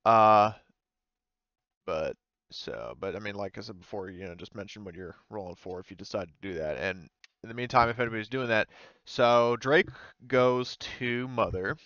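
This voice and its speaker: A man's voice: on the low side, moderate pace, a bit bright, smooth, dry recording. A man casually explaining a tabletop game as game master, in a conversational, hedging way over a muffled online voice call.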